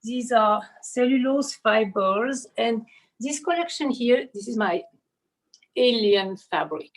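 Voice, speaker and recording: A woman's voice: on the high side, lively intonation, takes it slowly. A woman from Switzerland gives an informal explanatory studio tour as an artist and costume designer, often searching for words and adding "you know".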